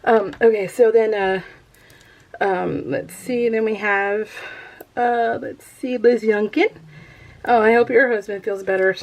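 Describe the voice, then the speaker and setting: A woman's voice: medium pitch, steady tone, a little slow, low-quality recording. An American woman chatting casually to viewers as a craft vlogger, rambling and giggly, poking fun at herself and often trailing off mid-thought. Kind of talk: casual chat to viewers while showing a craft project.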